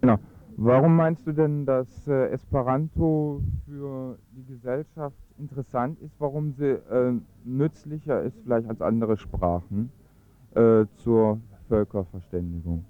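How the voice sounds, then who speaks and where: A man's voice: medium pitch, fairly even intonation, measured pace, phone-quality sound. A man interviewing a guest on radio, asking questions hesitantly with fillers.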